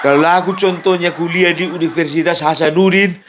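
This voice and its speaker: High-voiced man mocking, laughing often. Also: steady tone, fairly quick, a bit bright. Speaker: political commentary host. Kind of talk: mocking casual political chat. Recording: background noise.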